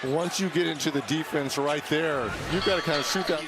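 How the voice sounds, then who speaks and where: A man's voice: on the high side, brisk. A man giving live basketball color commentary in an explanatory, conversational way. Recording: loud arena noise behind commentator. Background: basketball game noise.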